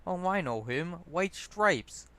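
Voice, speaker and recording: Man acting out a drunk male student in a story: raised pitch, loud, eager, chatty and oblivious. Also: lively intonation, takes it slowly, full character voice. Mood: boozy, enthusiastic.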